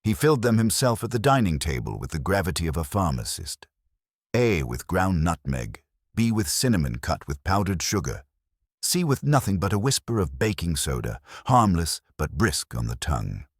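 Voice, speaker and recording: A man narrating a scripted first-person detective story in a dry, wry, measured way. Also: sits low, big pitch swings, no room sound. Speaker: audiobook narrator.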